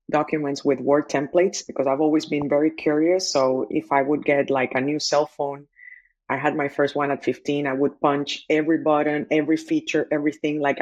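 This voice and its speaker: A woman from the Republic, telling her story as a guest in an interview, fluent and animated with fillers and restarts. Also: low-pitched, highs cut off. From Dominican Republic. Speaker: guest, tech entrepreneur.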